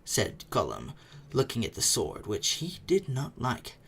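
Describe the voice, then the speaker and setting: A storyteller giving a dramatic, suspenseful reading of a book, with phrases often falling away into a hush. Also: medium pitch, unhurried, gravelly, slightly rough. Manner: expressive, suspenseful, hushed phrase endings. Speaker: narrator. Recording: faint background noise.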